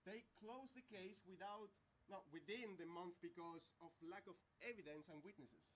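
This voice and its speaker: A mournful, melodramatic professor and widower in a farcical comedy, played by a man. The voice is high-pitched, earnest and halting, over faint background noise. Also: natural voice.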